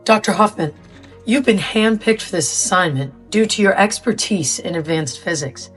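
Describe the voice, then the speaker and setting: A woman playing an authoritative scientist in an audio drama, explaining things in a formal, measured way. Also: mid-range voice, faint music behind, close to an everyday voice. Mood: formal, composed. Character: authoritative, formal female scientist briefing a newcomer. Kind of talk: scripted audio drama dialogue.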